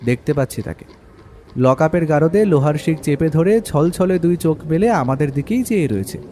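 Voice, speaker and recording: A man's voice: fairly high pitch, expressive, normal speed, dark tone, slight background noise, lightly acted. A man playing a detective's reflective companion, narrating a story in the first person. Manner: literary, reflective. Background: background music.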